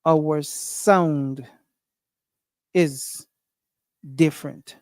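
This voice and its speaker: Guyanese-accented man, livestream host, unhurried, clear and fairly high voice, lots of ums. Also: fairly even intonation, a little mellow, dry recording, clean studio sound. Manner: thinking aloud with many fillers and restarts. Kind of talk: casual livestream talk.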